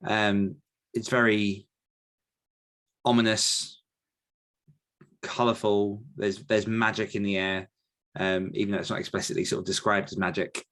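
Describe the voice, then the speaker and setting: A man speaking casually and reflectively as an author being interviewed, often pausing to search for his words. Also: fairly low pitch, fairly bright tone. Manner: thoughtful, halting, searching for words. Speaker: podcast guest author answering questions.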